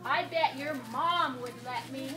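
A woman's voice: high voice, measured pace. A woman voicing a stubborn, wheedling pigeon in a children's puppet show, pleading dramatically. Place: library children's room, audience of children. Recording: echoey noisy room from far off.

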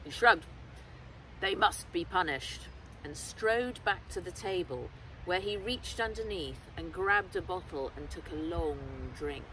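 Middle-aged female storybook narrator, unhurried, recorded outside at a distance with clear ambient noise. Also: on the low side, pushed and bright. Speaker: author reading own novel aloud. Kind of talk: expressive scripted storybook narration. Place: outdoors in woodland. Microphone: camera a short distance away. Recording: outdoors, distant mic, clear background noise.

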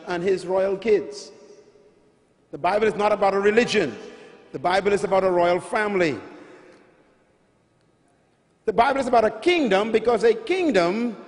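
High-voiced Bahamian preacher in a hall. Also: male voice. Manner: emphatic, repetitive teaching with rising calls. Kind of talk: sermon teaching. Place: large arena stage before a big audience. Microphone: handheld mic. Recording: large hall with long reverb.

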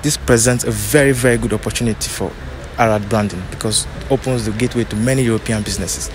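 A young man from Nigeria presenting to camera outdoors with a handheld mic, in a steady promotional manner. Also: on the low side, fast, noisy background. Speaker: business agent in Belgium. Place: outdoors by an office building.

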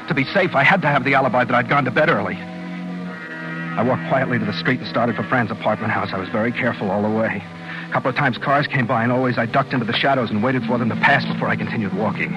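A man's voice: mid-range voice, natural voice. An actor playing an anxious, secretive man confessing his plot in a 1940s radio suspense drama. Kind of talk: 1940s radio suspense acting, first-person narration. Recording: dull 1940s radio recording with music.

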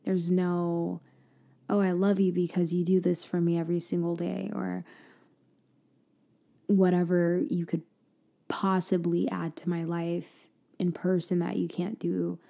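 A woman doing a girlfriend roleplay for ASMR, speaking softly and intimately, slipping in and out of a whisper and often trailing off mid-thought. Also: gravelly. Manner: soft, intimate, drifting between low voice and whisper; hesitant, trailing off and restarting.

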